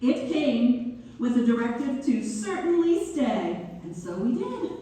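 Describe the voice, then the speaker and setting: A woman playing Mabel, a genteel pianist turned writer, reminiscing in measured theatrical narration. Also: a little high, big pitch swings, warm tone. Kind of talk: theatrical first-person narration, read-through. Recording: distant mic in very echoey hall, faint noise.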